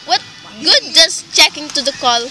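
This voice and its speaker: A woman's voice: sits high, lively intonation, moderate pace, pushed and bright, soft background music, exaggerated voice. A woman playing an anxious, demanding invalid wife in a radio play, fretful, pleading and dramatic. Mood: insistent, worried.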